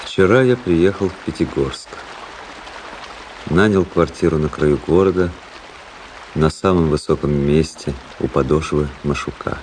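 Low-voiced contemplative diarist officer. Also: male voice, a little mellow, slight background noise, rough recording. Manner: measured, lyrical, literary. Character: observant, world-weary male officer reading his private diary. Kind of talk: dramatic diary narration in a radio play.

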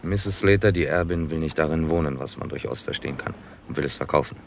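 Very deep, gravelly detective. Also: male voice, slight background noise. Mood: calm, confiding. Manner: dry, deliberate, confiding. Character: Mr. Nutting, unflappable, intuitive Scotland Yard detective. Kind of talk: 1960s German crime radio play.

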